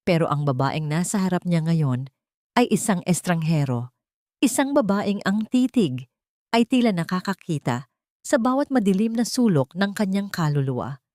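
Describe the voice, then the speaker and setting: A woman narrating a scripted drama story in a measured, emphatic, theatrical way. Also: fairly low pitch, lively intonation, darkish tone, dry recording, clean studio sound.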